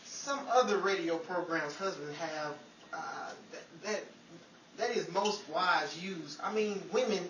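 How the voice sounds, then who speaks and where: A performer playing Henry, a bumbling, good-humored male 1950s radio sidekick with a halting, stumbling delivery. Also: mid-range voice, lightly acted. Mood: halting, jovial. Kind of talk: imitation old-time radio banter. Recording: echoey, distant mic, crowd and loud noise.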